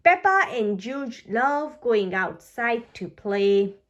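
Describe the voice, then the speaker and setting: A woman reading a children's storybook aloud in an expressive, deliberate way for young listeners. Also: a little high, highs cut off, a little hiss or hum. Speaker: storybook reader.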